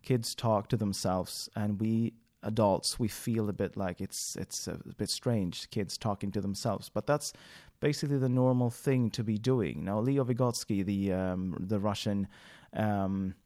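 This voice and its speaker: A man's voice: on the low side, measured pace, mellow. Swedish man hosting a podcast. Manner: thinking aloud, frequent fillers and restarts. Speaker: podcast host. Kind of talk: solo podcast monologue.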